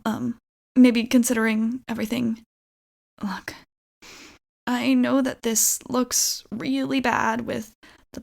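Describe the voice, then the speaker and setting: Woman acting an awkward, flustered vampire girl, high creaky voice. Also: darkish tone. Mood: sheepish, bargaining. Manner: halting, rambling, nervous, apologetic. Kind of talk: ASMR roleplay monologue.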